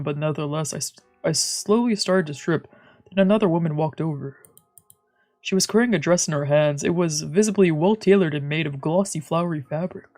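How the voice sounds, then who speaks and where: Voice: medium pitch, a little mellow. A streamer reading visual novel narration aloud, with casual asides, while music plays behind. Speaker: streamer, let's player.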